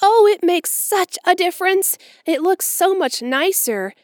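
A woman's voice: very high-pitched, lively intonation, unhurried, dry recording, clean studio sound, pitched above the performer's own voice. A woman voicing an eager, dramatic orphan girl of about eleven, pleading in a breathless, florid way in a storybook reading. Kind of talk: audiobook character voice.

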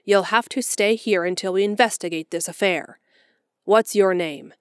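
A woman voicing a stern, practical middle-aged spinster who talks briskly and curtly in a storybook reading. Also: mid-range voice, smooth, no room sound, studio quality, close to an everyday voice. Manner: brisk, curt, no-nonsense.

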